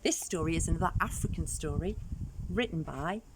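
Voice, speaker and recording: A woman's voice: low-pitched, fairly quick, noisy background. A woman reading a story aloud to young children expressively, adding questioning asides for the listeners.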